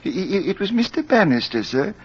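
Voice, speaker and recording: An actor playing a polite, hesitant manservant in a 1940s radio drama, husky and halting, on a muffled old recording. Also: male voice, high voice, very animated, full character voice. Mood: amused. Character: deferential, hesitant male servant, easily tickled.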